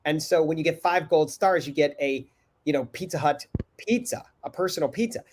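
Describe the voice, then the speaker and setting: A middle-aged man hosting a live video chat from home over a webcam, upbeat and energetic with many fillers, sounding a little congested. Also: on the high side, a little fast, slight background noise. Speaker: host. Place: home room indoors. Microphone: video call webcam.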